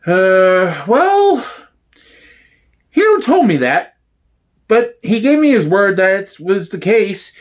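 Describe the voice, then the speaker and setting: Comedy sketch role: male performer as an earnest, forgiving gangster's victim, high-pitched and very animated. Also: takes it slowly, pauses often, exaggerated voice. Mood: fond, insistent. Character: high-voiced loyal gangland victim, fondly excusing his torturer. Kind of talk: comedy sketch dramatic reading.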